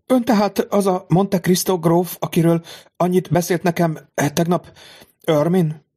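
Audiobook: male reader doing a genteel, high-strung mother, pitched well up, pleading, strained. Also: steady tone, warm tone, slightly rough. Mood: grateful. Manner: high, breathless pleading delivery. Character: distraught aristocratic young mother, high-strung lady. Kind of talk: audiobook reading of a classic novel.

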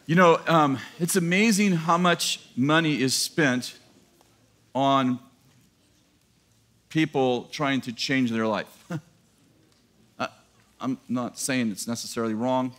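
A man's voice: mid-range voice, slow pace, long pauses, fairly bright tone. A man in his fifties preaching a sermon in a large church auditorium to a live congregation, talking in a casual joking way and laughing at his own lines. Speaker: pastor. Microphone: headset mic.

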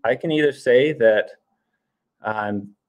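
A man in his thirties giving an informal debate presentation in a halting, explanatory way. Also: medium pitch. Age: 30s. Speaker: debater arguing for evolution. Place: indoors, on a video call.

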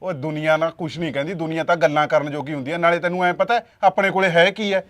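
A man's voice: on the high side, steady tone, quick pace, fairly bright tone, close to an everyday voice. A man acting a village husband who coaxes his wife, then snaps and threatens. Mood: placating. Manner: coaxing, then snapping and threatening. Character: placating village husband, easily irritated. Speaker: actor in a family quarrel skit.

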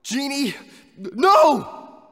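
An actor playing a brave, kind young street-urchin hero in a video game, youthful and heartfelt. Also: male voice, very high-pitched, sing-song, drawn-out words, fairly bright tone, no echo, studio quality, heavily acted. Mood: urgent, alarmed. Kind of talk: animated video game acting.

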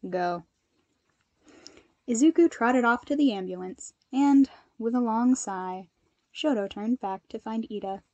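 A woman narrator with an expressive storytelling style. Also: a little high, moderate pace, no room sound, highs cut off. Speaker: fan fiction narrator.

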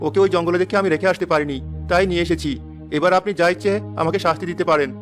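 A man's voice: sits high, fairly even intonation, rapid-fire, faint music behind, close to an everyday voice. A man voicing a humble, pleading poor woodcutter.

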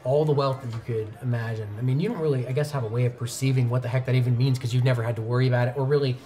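A man in his twenties narrating a tabletop roleplaying game as game master, conversational and halting, pausing mid-sentence. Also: medium pitch, steady tone, mellow. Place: indoor studio set at a game table. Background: background music.

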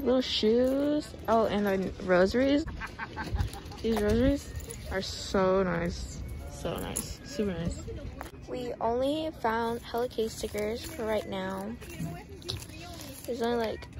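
A young woman chatting through a casual vlog, upbeat and full of filler words. Her voice sits a little high. She speaks into a phone held at arm's length. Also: poor audio. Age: young adult. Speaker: vlogger. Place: outdoor flea market, later indoors at home.

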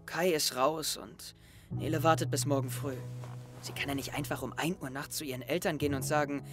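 A man's voice: on the high side, fairly even intonation, brisk, close to an everyday voice. A young man playing an anxious, brooding teenage boy in an introspective, tense audio drama monologue. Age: young adult. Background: music.

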